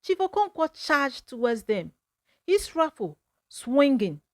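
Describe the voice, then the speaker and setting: A woman storyteller narrating a scripted folktale in a dramatic, measured manner. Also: high voice, big pitch swings, dry recording, studio quality.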